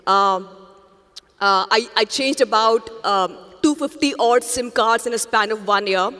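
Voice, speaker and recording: A woman's voice: a little high, a little slow, pushed and bright. Earnest woman in a reverberant hall. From India. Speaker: journalist, interview guest. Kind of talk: onstage interview answers.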